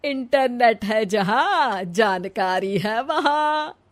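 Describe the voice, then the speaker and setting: A woman's voice: fairly high pitch, expressive, very slow. A woman voicing a cheerful, bossy, modern-minded village mother-in-law in a Hindi cartoon story, breezy and instructing.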